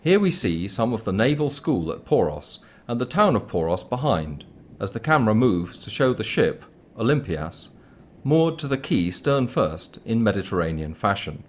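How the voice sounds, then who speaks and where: A man reading scripted documentary commentary in a measured, formal, explanatory manner. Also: on the low side, big pitch swings, slight background noise. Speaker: documentary narrator.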